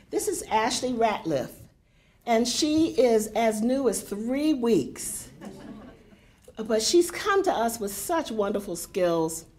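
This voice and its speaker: Older woman, big voice, slow and chatty, slightly high, welcoming remarks on a podium mic. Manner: warm, chatty, unhurried with frequent fillers. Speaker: poetry center director introducing a reading. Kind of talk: welcoming remarks to a live audience. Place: lecture hall at a university.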